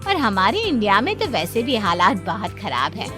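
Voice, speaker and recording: Animated, approving lady doctor acted by a woman. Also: medium pitch, moderate pace, over music, lightly acted. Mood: impressed. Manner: advising, praising, conversational. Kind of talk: Hindi cartoon moral-story acting.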